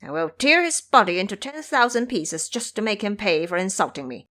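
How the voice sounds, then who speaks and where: Woman doing proud monkey king guy, hot tempered n boastful, audio drama, very animated pitch. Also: a little fast. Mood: indignant, boastful. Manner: boastful, declamatory. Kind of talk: audio drama character acting.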